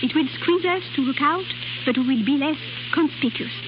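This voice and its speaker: Woman playing high-voiced passionate young French chanteuse. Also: a little fast, natural voice. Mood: tender, romantic. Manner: theatrical, foreign-tinged phrasing. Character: passionate, dramatic young French nightclub singer in love. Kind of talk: 1930s radio acting. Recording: muffled, noisy 1930s radio broadcast with hum.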